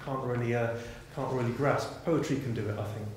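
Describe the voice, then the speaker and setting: A man's voice: medium pitch, fairly even intonation, a little fast. A man in his thirties informally introducing speakers to an audience, somewhat hesitant, repeating words. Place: bright indoor hall, at a lectern before a seated audience. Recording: very echoey hall, faint background noise.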